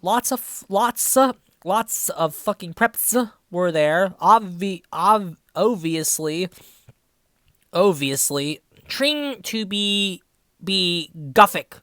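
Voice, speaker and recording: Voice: medium pitch, expressive, slow pace, fairly bright tone, dry recording, clean studio sound. A person reading a story aloud, apparently in their twenties, breaking off into sarcastic, sweary casual commentary. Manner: sarcastic, profane asides. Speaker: reviewer reading fan fiction aloud. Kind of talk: dramatic read-aloud with mocking casual commentary.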